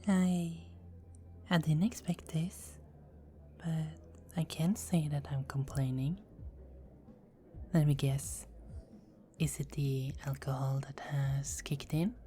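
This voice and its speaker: A woman's voice: deep voice, steady tone, takes it slowly, long pauses, dark tone, a little hiss or hum. Woman whispering as a teasing vampire girl. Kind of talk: ASMR roleplay.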